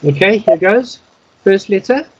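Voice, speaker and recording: A man's voice: high voice, animated, a little slow, muffled recording, slight background noise. A man giving informal, methodical instruction in an online radio practice class. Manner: methodical, explanatory, spelling in phonetic alphabet. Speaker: Morse code instructor. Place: online video call.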